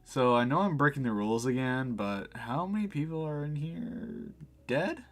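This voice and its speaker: A man's voice: mid-range voice, expressive, normal speed, lightly acted. A man voicing an anxious, apologetic, newly dead cafe customer with a hesitant manner in a visual novel. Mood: hesitant, curious.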